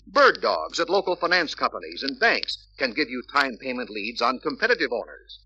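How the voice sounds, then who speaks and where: An American man reading scripted sales-training narration in an emphatic, deliberate, persuasive way on a vintage film soundtrack. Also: mid-range voice, big pitch swings, bright, forceful tone. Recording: dull vintage filmstrip soundtrack, faint hiss.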